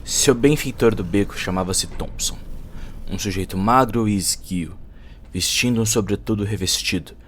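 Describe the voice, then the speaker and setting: A man with a Brazilian accent narrating a cyberpunk short story as a scripted, dramatic audio production. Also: on the low side. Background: faint music.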